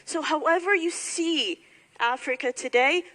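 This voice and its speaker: A woman's voice: high-pitched, a little slow, fairly bright tone. A woman from Somalia giving a public speech to graduates, speaking emphatically and building points through rhetorical repetition. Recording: hall reverb, faint music.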